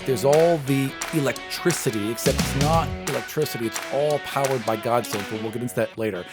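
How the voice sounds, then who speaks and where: Low-pitched man narrating over music. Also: a little fast. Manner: conversational, casual swearing. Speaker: game master. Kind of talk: narrating a tabletop roleplaying game. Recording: music behind the voice.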